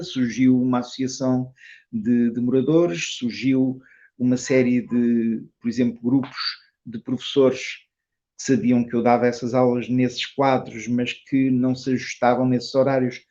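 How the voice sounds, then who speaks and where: An older man with a European Portuguese accent answers interview questions reflectively as a teacher, in measured, carefully reworded phrases. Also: mid-range voice, darkish tone, muffled recording. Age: older, retired. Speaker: Tai Chi and Qigong teacher, interview guest.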